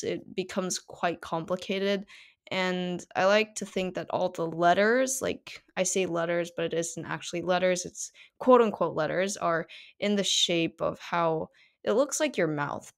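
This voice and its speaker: Teenage woman hosting a podcast. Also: lowish voice. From South Korea. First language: Korean. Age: teens. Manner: chatty, many fillers. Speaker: podcast host. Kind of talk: casual solo podcast monologue.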